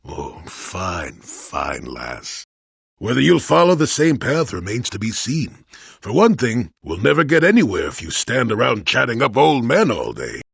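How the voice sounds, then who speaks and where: An actor playing a cantankerous, wry old male Jedi hermit in a video game, with a gravelly, husky voice and a grumbling, sardonic manner. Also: mid-range voice, a little slow, dry recording, clean studio sound, exaggerated voice. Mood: gruff, teasing. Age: older. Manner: grumbling, sardonic, theatrically expressive. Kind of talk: video game character dialogue.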